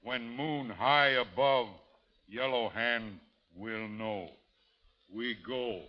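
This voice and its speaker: Proud war chief, slow halting broken English, vintage radio drama, muffled and heavily reverberant. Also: male voice, medium pitch. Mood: menacing, demanding. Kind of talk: 1930s radio drama acting. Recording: muffled old radio recording, long reverb.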